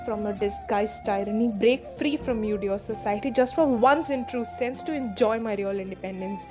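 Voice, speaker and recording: A woman recites a spoken-word poem in a slow, solemn and emphatic way. Her voice sits a little high. A clear music bed runs underneath. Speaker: poetry reciter. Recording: music bed behind voice-over.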